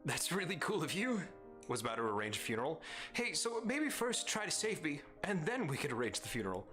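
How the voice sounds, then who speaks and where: A man's voice: a little high, huge pitch swings, a little fast, fairly bright tone, faint music behind. Playful male streamer with an Arizona mumble. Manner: playful, teasing, rambling jokes. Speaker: live streamer host. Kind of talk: casual chat with viewers.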